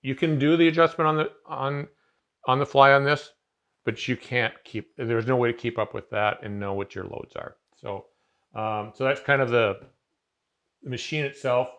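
A man in his fifties talks through a machine in his workshop, voice sitting in an ordinary middle pitch. He wanders through his points with plenty of fillers and restarts. It sounds like a casual chat at a bench in a big garage shop. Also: moderate pace, a bit bright. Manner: rambling, many fillers and restarts. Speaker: CNC machine dealer. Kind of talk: casual explanation in a workshop conversation. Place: large home garage workshop.

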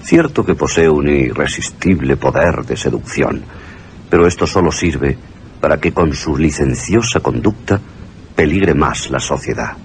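An actor playing a stern, moralizing guardian in a radio drama, grave and formal. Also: male voice, a bass voice, lively intonation, measured pace, a little hiss or hum, full character voice. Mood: grave, disapproving. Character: stern male guardian reading a warning letter. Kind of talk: Spanish radio drama acting.

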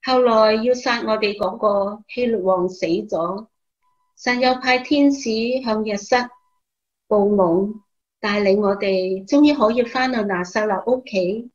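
A woman playing slow, husky, devout Mary. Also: medium pitch, lightly acted. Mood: grateful, solemn. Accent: Hong Kong Cantonese. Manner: slow, solemn, earnest. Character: Mary, gentle devout mother recalling refugee hardship. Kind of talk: Christmas radio play testimony. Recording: dull lo-fi recording.

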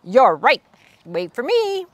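A woman voicing a squeaky, blustering male mouse commander who barks orders at his troops. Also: huge pitch swings, slow, no echo, exaggerated voice. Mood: gruff commanding. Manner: bossy, shouting orders.

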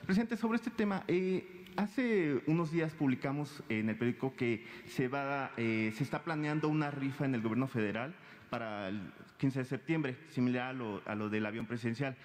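A man's voice: mid-range voice, moderate pace. Hesitant young male reporter in a hall. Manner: hesitant, restarting with fillers. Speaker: newspaper reporter. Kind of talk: press question. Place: large hall, seated in press area. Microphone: handheld mic. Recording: reverberant hall.

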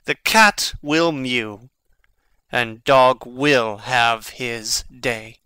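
An actor playing an intense, brooding young prince in a classical Shakespearean dramatic reading, with theatrical, emphatic phrasing. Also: male voice, medium pitch, very slow, bright, forceful tone, clean tone, no room sound. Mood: ranting, indignant.

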